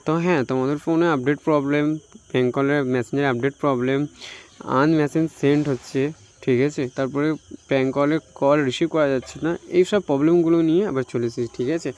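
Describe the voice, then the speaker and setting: A man's voice: a little high, fairly even intonation, fast, a little mellow. A man from India with an Indian Bengali accent gives an informal phone tutorial, repeating himself and often tagging phrases with a checking question. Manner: repetitive, frequently tags phrases with a checking question. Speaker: tech tutorial YouTuber.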